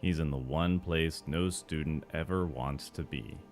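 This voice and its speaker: A man voicing a stern school staffer in an animated series, with a drawn-out, terse delivery. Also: extremely low pitch, faint music behind. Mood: weary, dry. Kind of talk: animation voice acting.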